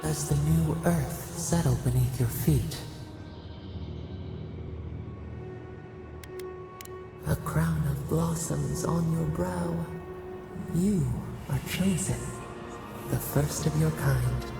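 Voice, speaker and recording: Game casting: solemn ancient spirit, gravelly, portentous delivery, reverberant, over loud music. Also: mid-range voice. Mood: solemn, mournful. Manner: slow, cryptic, poetic, portentous. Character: ancient, solemn nature spirit recalling shared memories. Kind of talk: video game dramatic narration. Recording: echoing voice under prominent music.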